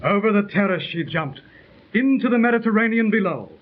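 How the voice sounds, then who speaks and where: A man in a 1930s radio drama who narrates a tragic story in a measured, dramatic manner. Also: high-pitched, expressive. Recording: tinny 1930s radio transcription.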